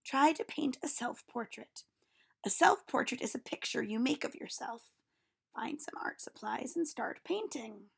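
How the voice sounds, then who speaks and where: Woman as a self-important storybook artist, creaky voice. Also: high-pitched, animated, unhurried, voice pushed down, full character voice. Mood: condescending. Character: self-important, instructive male artist friend of a mouse. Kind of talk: storybook read-aloud.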